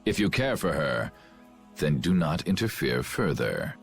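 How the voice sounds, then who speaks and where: An actor playing a scheming, menacing villain commander who speaks in a slow, contemptuous, threatening way. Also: male voice, big pitch swings, soft background music, exaggerated voice. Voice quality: deep gravelly. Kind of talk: video game voice acting.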